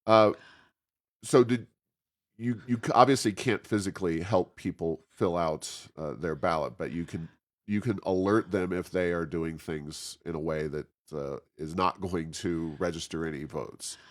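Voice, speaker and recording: A man hosting a conversational interview, phrasing his questions in a halting, searching way. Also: sits low. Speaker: interview host.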